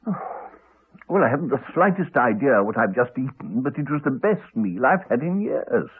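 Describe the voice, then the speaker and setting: An actor playing a courteous, wry English country doctor, middle-aged, with a hesitant, chatty manner in a 1980s radio drama. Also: male voice, medium pitch, sing-song, normal speed, breathy edge. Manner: hesitant, chatty, clipped phrases. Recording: slightly dull old radio recording.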